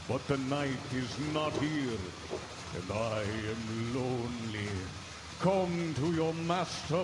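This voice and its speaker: Menacing vampire master, slowly chanting, highish male voice, big echoey hall, radio play. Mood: ominous incantation. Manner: slow, incantatory, menacing. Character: ultra-creepy commanding male vampire count calling his servants. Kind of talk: live radio drama acting. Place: large town hall stage. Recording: noisy live hall with long reverb.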